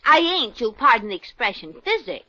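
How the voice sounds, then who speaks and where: A woman's voice: a little high, very animated, brisk, pushed and bright, heavily acted. An actress playing a brassy, slangy young working-class woman in a 1950s radio drama. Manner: slangy, street-smart, ungrammatical. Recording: dull old radio recording.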